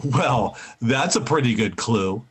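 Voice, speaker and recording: A man's voice: mid-range voice, animated, slow, breathy edge, exaggerated voice. A man playing a teasing, corny husband in a 1940s-style radio comedy, playful and hammy.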